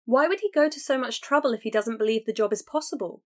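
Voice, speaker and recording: A woman's voice: on the high side, lively intonation, fast talker, natural voice. A woman reading an audiobook, voicing an eager young woman who urgently presses her sister to take a chance. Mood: eager pleading. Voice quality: bright, urgent and persuasive. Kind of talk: audiobook character voice.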